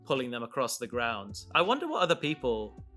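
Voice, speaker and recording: Bright-voiced man rambling enthusiastically over music. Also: medium pitch, huge pitch swings, brisk. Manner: rambling, enthusiastic, trails off mid-thought. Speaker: podcast host and audio drama creator. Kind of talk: casual chat about making an audio drama.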